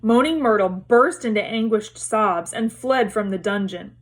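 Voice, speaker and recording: A woman reading a story aloud as narrator, in expressive storybook narration. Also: mid-range voice, unhurried.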